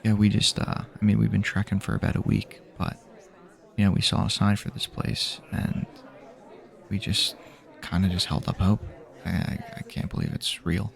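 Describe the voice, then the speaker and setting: Low, gravelly, soft-spoken man. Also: fairly even intonation. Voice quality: breathy, half-whispered, gravelly. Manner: halting, rambling, casual. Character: weary friendly male apocalypse survivor guiding a companion. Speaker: ASMR roleplay performer. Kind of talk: improvised ASMR roleplay, soft-spoken.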